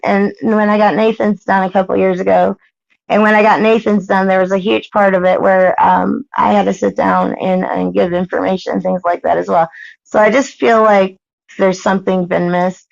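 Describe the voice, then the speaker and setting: An American woman pressing her point on a recorded phone call, insistent and halting, often repeating herself. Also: mid-range voice, level tone, gravelly, no echo. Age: adult. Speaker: parent questioning a caseworker. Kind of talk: argumentative phone conversation.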